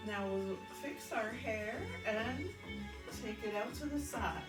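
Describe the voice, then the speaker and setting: A woman's voice: fairly high pitch, slow. A woman from Canada, stuffed up and congested, chatting through a guided chair exercise class and counting reps. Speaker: fitness instructor. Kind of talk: guided chair workout instruction. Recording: roomy, from a distance, loud music. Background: loud upbeat music.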